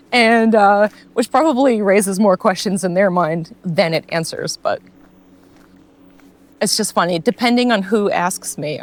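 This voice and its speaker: Slow-talking woman in her forties, American accent. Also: mid-range voice. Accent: American, slightly shifted by years in New Zealand. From Russia. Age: 40s. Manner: relaxed, chatty, laughs often, many fillers. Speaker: vlogger. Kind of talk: casual rambling chat on a walk. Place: outdoors on a bush walk.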